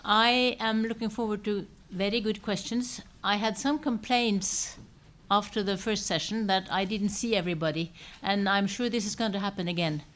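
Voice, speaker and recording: A woman's voice: medium pitch, normal speed, hardly any pauses, a bit bright. A woman moderating audience questions at a public talk, speaking in an informal, direct way. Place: public seminar room with audience. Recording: live hall microphone, clear background noise.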